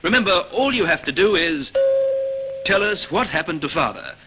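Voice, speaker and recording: A man's voice: on the high side, animated, moderate pace, loud music behind the voice. A man hosting an old-time radio riddle show for children in front of an audience. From Australia. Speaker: radio quiz show host. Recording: noisy, dull old radio recording with audience. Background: studio audience, heavy noise.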